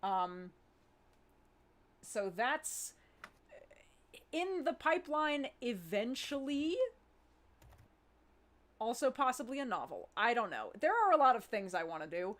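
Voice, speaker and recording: A woman talking informally in a live Q&A as a show's creator, thinking aloud and pausing as she goes. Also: fairly high pitch, big pitch swings, fairly bright tone. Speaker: audio drama writer and producer. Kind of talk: casual chat in a live Q&A.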